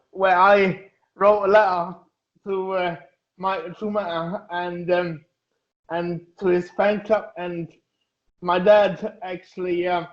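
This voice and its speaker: A man in his thirties from Germany, a guest in a casual interview, talking haltingly with many pauses and fillers. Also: high voice. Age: 30s. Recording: echoey, dull lo-fi audio.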